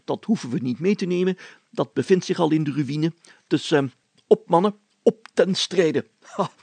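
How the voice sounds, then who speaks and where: Storybook mayor performed by a male reader: pompous, excitable adventurer, heavy creak, husky, dry close sound. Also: a little high, no room sound. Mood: gleeful, excited. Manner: pompous, exclamatory, chatty. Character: excitable, self-important, adventurous village mayor, a man.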